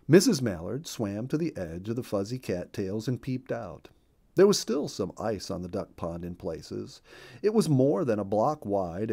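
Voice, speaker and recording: A man reading a children's story as storyteller, expressive and placing deliberate emphasis on key words. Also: fairly low pitch.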